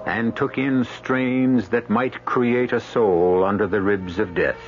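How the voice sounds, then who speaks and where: A man narrates as host of an old radio drama in a lowish voice. His delivery is solemn, theatrical and rhetorical. Music plays clearly behind him, and the recording is dull. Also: steady tone, takes it slowly.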